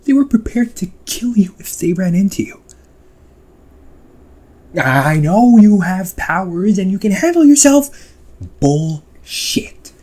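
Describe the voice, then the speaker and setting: A man playing a protective, exasperated ghost brother in an emotional amateur audio roleplay, speaking in a husky voice. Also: high-pitched, big pitch swings, slow, pauses often, full character voice. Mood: angry, alarmed. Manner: emotional, swearing, swings from outbursts to soft confessions.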